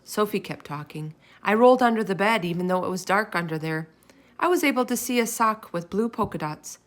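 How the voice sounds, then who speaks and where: A woman reading a children's chapter book aloud in expressive narration. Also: medium pitch, a little fast. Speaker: storybook reader.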